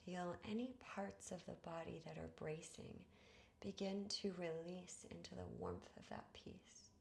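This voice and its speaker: A woman guiding a meditation in a soothing, hushed manner, with breathy phrase endings and long pauses. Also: on the low side, animated, a little hiss or hum. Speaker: yoga nidra guide. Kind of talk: guided meditation narration.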